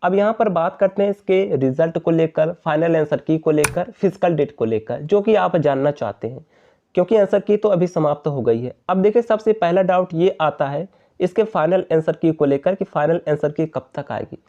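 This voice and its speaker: A man's voice: fairly high pitch, normal speed, mellow. A man explains updates to viewers directly and informally, repeating his points, in a muffled lo-fi voice-over. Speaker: exam update channel host.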